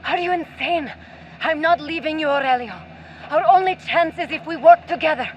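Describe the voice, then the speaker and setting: A woman's voice: moderate pace, close to an everyday voice. A woman playing a firm, loving mother and pilot in a radio play, earnest and dramatic. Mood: alarmed, defiant. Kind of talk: audio drama acting. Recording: muffled, faint vehicle rumble.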